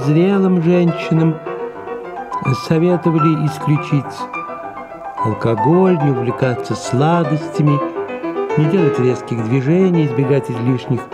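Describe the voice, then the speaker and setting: Male actor as haughty middle-aged mother for radio play: indignant, emphatic, raised pitch, clear music underneath. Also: unhurried, close to an everyday voice. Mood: aggrieved, cutting. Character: haughty, scolding, self-pitying middle-aged French mother. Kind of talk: theatrical audio drama acting.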